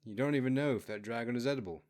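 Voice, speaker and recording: A man's voice: mid-range voice, rapid-fire, a little mellow, close to an everyday voice. A man reads as a hungry royal guard in a fantasy audiobook. The talk is casual and grumbling, in an everyday male voice.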